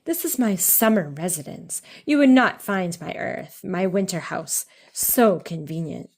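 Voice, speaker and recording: A woman voicing a sly, hospitable gentleman fox in a children's storybook, speaking with polite, genteel formality. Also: lowish voice, expressive, a little slow, creaky, exaggerated voice.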